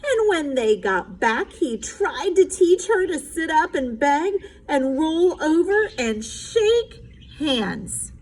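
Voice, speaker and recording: A woman's voice: sits high, big pitch swings, slow pace, darkish tone, low-quality recording. A woman teacher reading a picture book aloud to children, animatedly, stopping to explain things simply. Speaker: special education teacher. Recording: faint background noise.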